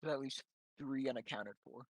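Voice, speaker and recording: Male tabletop roleplayer, medium pitch, casual conversational delivery, very little room sound. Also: normal speed, no echo, low-quality recording. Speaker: tabletop game player. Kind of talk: casual game chat.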